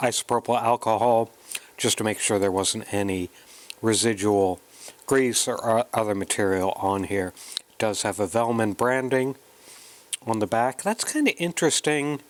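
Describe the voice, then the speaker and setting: A man narrating a hands-on tutorial in a casual, halting way, thinking aloud as he works. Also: mid-range voice, slight background noise. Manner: unhurried, halting, thinking aloud while working. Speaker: electronics hobbyist presenting a kit build.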